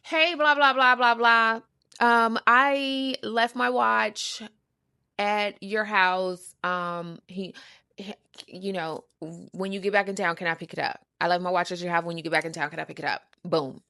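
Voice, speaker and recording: A woman's voice: medium pitch, steady tone, measured pace, bright, forceful tone, clean tone, no echo. A middle-aged woman telling a personal story casually as a host, sassy and emphatic, repeating words for emphasis and using salty slang. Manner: uses slang and swearing.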